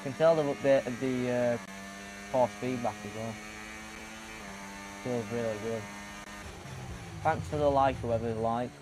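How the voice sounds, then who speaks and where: A man gives casual commentary while gaming. His mid-pitched voice comes in short, low-key remarks, with clear hum and engine noise underneath. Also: a little slow, long pauses. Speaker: gaming video creator.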